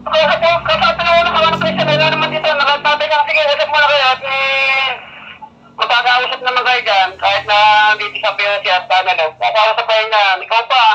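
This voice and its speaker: High, very bright man on radio. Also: little pitch movement, normal speed, soft background music. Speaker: amateur radio operator. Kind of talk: casual two-way radio chat. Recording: dull lo-fi two-way radio.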